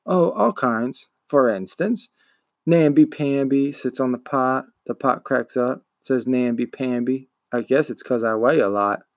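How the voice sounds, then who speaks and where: A man's voice: medium pitch, a little slow, dry recording, studio quality, close to an everyday voice. A man voicing a meek, bullied young schoolboy in a storybook, hesitant and subdued.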